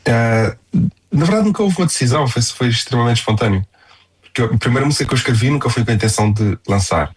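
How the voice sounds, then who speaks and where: A man's voice: mid-range voice, lively intonation, fast talker, a little hiss or hum. A young man with a European Portuguese accent, chatting casually as a singer-songwriter in an interview.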